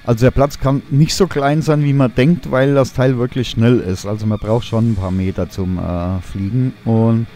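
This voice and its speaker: A young man talks through a drone review in a mellow, warm voice. He sounds keen but easygoing, stopping often on little hesitation sounds. Soft guitar music plays quietly underneath. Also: medium pitch, normal speed, hardly any pauses. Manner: relaxed, enthusiastic, many fillers. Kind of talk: voice-over product review.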